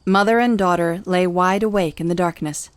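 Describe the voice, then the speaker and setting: A woman's voice: fairly low pitch, normal speed, smooth, dry recording. A woman narrating a scripted dramatic story in an audio series. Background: music.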